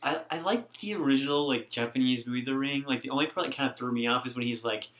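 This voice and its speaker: A man's voice: medium pitch, fairly bright tone. Male podcast host, upbeat and joking, chatty interviewer. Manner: upbeat, joking, quick asides.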